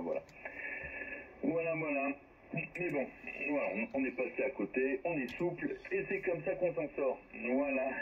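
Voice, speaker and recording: A man chatting casually as an amateur radio operator, rambling and hesitant, over a shortwave transmission. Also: fairly high pitch. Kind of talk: casual ham radio chat. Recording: dull, lightly noisy radio link. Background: faint radio static.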